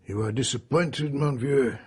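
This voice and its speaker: An actor playing a worldly, wry French police inspector in a radio drama, polite and questioning, with a French accent. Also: male voice, medium pitch, a little slow, a little mellow, husky. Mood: courteous.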